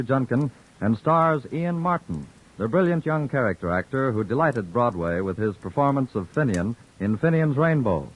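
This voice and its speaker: A male radio announcer giving formal, measured broadcast credits and introductions. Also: mid-range voice. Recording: dull old radio broadcast with music.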